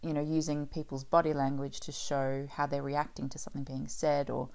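A woman with a low-pitched voice co-hosts a podcast. Her talk is casual and reflective, as if thinking things through aloud. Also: level tone, a little fast, gravelly, a little mellow. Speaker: podcast co-host.

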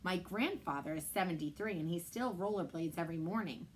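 A woman in her thirties, a children's librarian, reading a chapter book aloud in a steady, measured way. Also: lowish voice, breathy edge, poor audio. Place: indoor library room.

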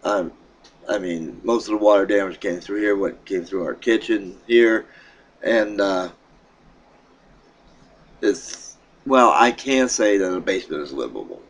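A middle-aged man with a froggy throat, casually talking to his webcam at home in a rambling, halting way. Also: low voice, lively intonation, breathy edge. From Pennsylvania. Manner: rambling, halting, with many fillers and restarts. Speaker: vlogger. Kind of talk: casual vlog update. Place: small room in a home, indoors. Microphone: webcam at desk.

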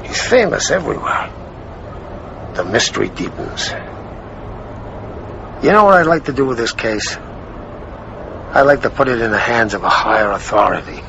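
Police lieutenant: probing, polite, animated pitch, radio drama with noisy background. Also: male voice, moderate pace, creaky, long pauses, lo-fi sound. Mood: slyly probing. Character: probing, courteous police lieutenant, slyly indirect.

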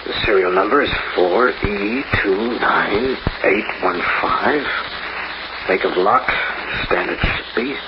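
An actor playing a slangy, hard-boiled locksmith in an old-time radio crime drama. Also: male voice, fairly low pitch, slow. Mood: tense, frustrated. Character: edgy, wisecracking working-class male locksmith. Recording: muffled, noisy old radio recording.

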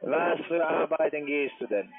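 A man comes through a narrow phone line with a crowd of voices clearly behind him. He is a call centre agent in a heated argument, insulting and repeating himself combatively. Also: a little high, normal speed.

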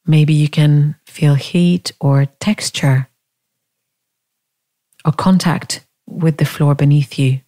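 Low-pitched, slow, mellow woman guiding meditation. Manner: calm, gentle, unhurried, with long pauses. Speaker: meditation guide. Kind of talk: guided body scan meditation.

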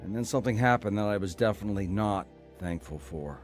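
Actor as cynical, stubborn American older brother. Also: male voice, lowish voice, fast, over music, lightly acted. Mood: regretful narration. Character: proud, cynical, stubborn older brother, self-made man. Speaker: actor narrating and playing the lead. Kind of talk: dramatized first-person audio drama.

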